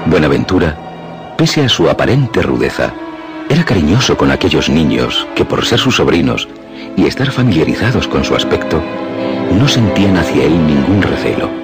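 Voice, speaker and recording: A man narrating a scripted radio drama as a grave storyteller, measured, literary and expressive. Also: extremely low pitch, full character voice. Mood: grave, tender. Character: grave male storyteller narrating a dark rustic legend. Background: music.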